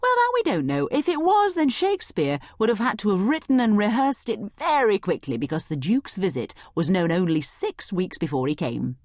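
Animated woman guiding an audio lesson. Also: medium pitch, moderate pace, few pauses, dry recording, studio quality. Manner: theatrical, explanatory, breaks off into asides. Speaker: narrator and guide of an audio study guide. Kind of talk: scripted dramatised educational narration, addressing the listener as a tour guide.

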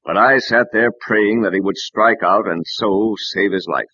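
An actor playing a loyal, anxious American sportswriter, earnestly narrating and acting in an old-time radio drama. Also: male voice, lowish voice, unhurried, sharp tone, slightly rough, dry recording, exaggerated voice. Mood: tense narrating. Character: loyal, worried male sportswriter friend, narrating. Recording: dull, dry old radio recording.

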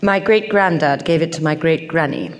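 A woman's voice: sits low, normal speed, close to an everyday voice. An actress playing a reserved, earnest middle-aged English woman doctor in an audio drama, polite, careful and clipped. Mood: brisk, professional. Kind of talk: audio drama stage acting. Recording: reverberant theatre-like hall.

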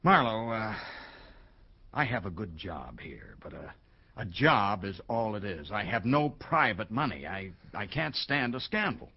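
An actor playing a troubled, blunt American businessman and wronged husband in a radio drama, speaking haltingly. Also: on the low side, very animated, creaky, husky, lo-fi sound, heavily acted. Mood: anxious. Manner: halting, hesitant, with bursts of bluntness.

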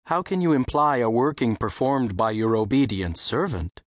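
Man acting a light-voiced, gentle wizard teacher. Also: medium pitch, lively intonation, normal speed, no echo, clean studio sound, close to an everyday voice. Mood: teasing. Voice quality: light male voice. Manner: articulate, mildly formal. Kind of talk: audiobook character acting.

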